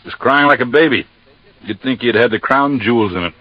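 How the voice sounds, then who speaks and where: An American actor playing a matter-of-fact, folksy Coast Guard captain explaining things in a 1950s radio drama. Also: male voice, mid-range voice, fairly quick, dry recording, natural voice. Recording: dull, dry vintage radio recording.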